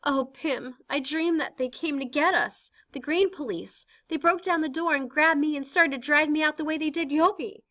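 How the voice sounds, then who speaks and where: A woman's voice: sits high, moderate pace, few pauses, pushed and bright, pitched above the performer's own voice. A woman reading a stage play aloud and voicing an anxious, intense teenage girl in hiding, with emotional, pleading delivery. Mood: frightened.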